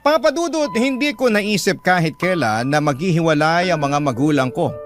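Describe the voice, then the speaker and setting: A man's voice: on the high side, animated, moderate pace. A man reading a letter aloud as dramatic first-person narration for a radio drama. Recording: clear background music under voice. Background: music.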